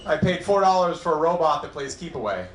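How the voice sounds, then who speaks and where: Wry New England comic in echoey club. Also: male voice, fairly high pitch, lively intonation, a little fast, a little hiss or hum. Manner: wry, self-deprecating punchlines. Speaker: stand-up comedian. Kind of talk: stand-up set. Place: comedy club stage.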